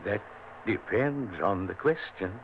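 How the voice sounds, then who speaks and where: An actor playing a gentle family doctor who soothes a dying patient, in vintage radio drama style. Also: male voice, on the low side, animated, measured pace. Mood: soothing. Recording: scratchy, tinny old radio recording with hiss.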